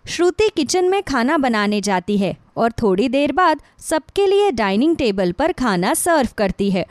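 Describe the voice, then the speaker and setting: High-pitched woman narrating a story. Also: sing-song, measured pace, no echo, clean studio sound.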